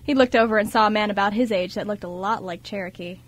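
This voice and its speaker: A woman's voice: mid-range voice, fast talker. A young woman reading scripted story narration for a radio show.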